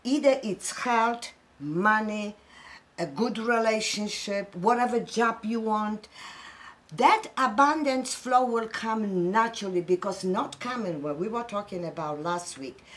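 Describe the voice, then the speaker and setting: A woman's voice: medium pitch, unhurried, fairly bright tone. A middle-aged woman from Poland with a Polish accent, hosting a casual spiritual chat and telling stories earnestly and emphatically.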